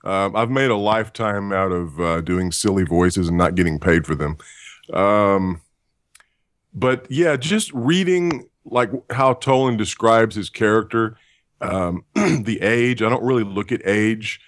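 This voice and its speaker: A male voice actor with a deep, low voice talks through his craft in an interview, slipping in deadpan, self-deprecating jokes. The recording is close and dry with no room around it. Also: unhurried, no echo. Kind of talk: interview answers.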